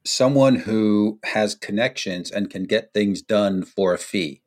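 An American man, a podcast guest, telling stories in an unhurried, anecdotal way with frequent ums. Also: lowish voice. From United States. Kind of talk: storytelling in a podcast interview.

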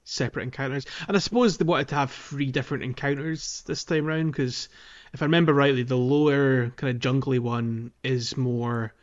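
A man's voice: medium pitch, steady tone, normal speed, few pauses, muffled recording. A man co-hosting a podcast, casually talking through a video game in a loose, hedging, informal way. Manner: hedging, informal explaining with kind of and like. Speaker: podcast co-host.

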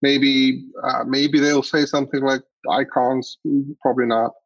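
A man's voice: medium pitch, level tone, a little slow, dry recording, lo-fi sound. A man from Iceland answering interview questions as a guest, picking his words hesitantly and thoughtfully. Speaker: podcast guest, agency CEO.

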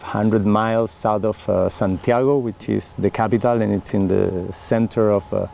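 A middle-aged Chilean winemaker with a Chilean Spanish accent, a native Spanish speaker, speaking earnestly in an oral history interview with many fillers and restarts. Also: male voice, lowish voice, fairly even intonation, normal speed, vocal fry, warm tone, a little hiss or hum.

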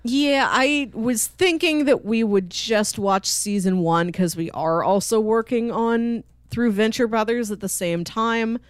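A woman's voice: a little high, a little slow, hardly any pauses, clean tone, no room sound, clean studio sound. A woman chatting casually as co-host of a podcast.

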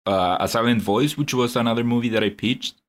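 A young man co-hosting a casual podcast, talking in a measured, thoughtful way with hesitant fillers. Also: medium pitch. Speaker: podcast co-host.

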